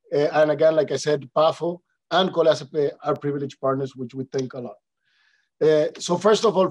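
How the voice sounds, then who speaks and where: A man's voice: a little high, a little mellow. A man from the Dominican Republic with a Spanish accent, a native Spanish speaker giving a polite webinar presentation with frequent fillers and restarts. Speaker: panelist representing an international organization.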